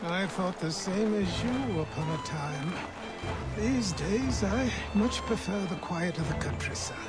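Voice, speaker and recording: An actor playing a stern, world-weary old mentor in a video game, blunt and instructive in manner. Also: male voice, sits high, lively intonation, a little slow, over loud music. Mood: wistful.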